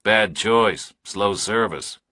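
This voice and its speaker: Man playing a deep, laconic tough investigator. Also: slow pace, pushed and bright, no echo, full character voice. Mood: dry. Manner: clipped, determined, dry.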